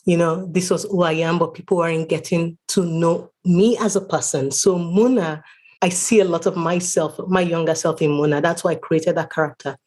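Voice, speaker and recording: A woman's voice: fairly low pitch, steady tone, normal speed, a little mellow. A woman from Nigeria speaking as an author guest in a conversational interview, earnest and explanatory.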